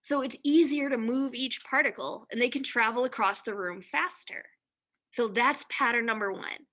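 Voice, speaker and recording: Bright-voiced chatty woman physics teacher. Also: a little high, normal speed. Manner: chatty, laughs easily. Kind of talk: recorded classroom lesson. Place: classroom with whiteboard.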